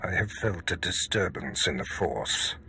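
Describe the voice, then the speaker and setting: Forceful, menacing Sith lord. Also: male voice, sits low, lively intonation, unhurried, gravelly, soft background music, full character voice. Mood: brooding, ominous. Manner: grave, commanding, formal. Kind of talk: dramatic video game acting.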